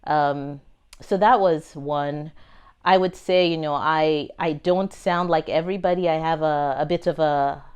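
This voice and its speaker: Low-voiced Nigerian-accented woman, smooth tone. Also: steady tone, unhurried, darkish tone. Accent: Nigerian, with American and British touches. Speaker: pharma executive guest. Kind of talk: reflective interview answers.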